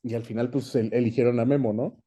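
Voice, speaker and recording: A young man with a Mexican accent answering questions in a casual interview. His voice is very mellow and medium in pitch, and he talks in a chatty, easygoing way. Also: fairly even intonation, quick pace, breathy edge. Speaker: guest, voice actor.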